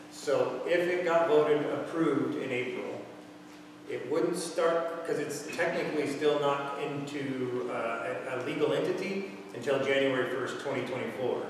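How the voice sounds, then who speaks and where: Fire officer, plain explainer, middle pitch, caught from across a big echoey bay with noise behind. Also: male voice. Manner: plain explanatory, talks through details. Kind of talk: answering questions at a public meeting. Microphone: far camera. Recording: echoey hall, distant mic, background noise.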